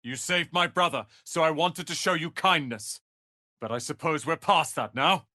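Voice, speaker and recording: An actor playing a proud, bitter young tiefling wizard in a video game, speaking in a haughty, theatrical, sardonic way. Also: male voice, a little high, moderate pace, pushed and bright, no room sound, clean studio sound. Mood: wry, haughty. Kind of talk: video game character acting.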